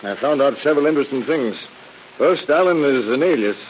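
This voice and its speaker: Dutiful cop male actor, rapid reporting delivery, dull vintage radio sound. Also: mid-range voice, expressive, lightly acted. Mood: matter-of-fact. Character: brisk, dutiful police investigator reporting to his boss. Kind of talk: 1930s-40s radio crime drama acting. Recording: muffled old radio recording with hiss.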